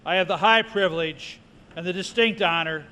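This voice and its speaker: High-pitched American man announcing over loud noise. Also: normal speed. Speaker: presiding officer introducing a speaker. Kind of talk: formal ceremonial announcement. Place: large indoor legislative chamber. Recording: very noisy, reverberant chamber.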